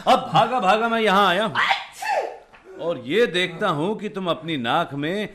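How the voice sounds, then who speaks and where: An actor playing an indignant, concerned friend who chides with scornful questions in a Hindi radio play. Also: male voice, high-pitched, animated, measured pace. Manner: scolding, rhetorical questioning. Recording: clearly noisy radio drama recording.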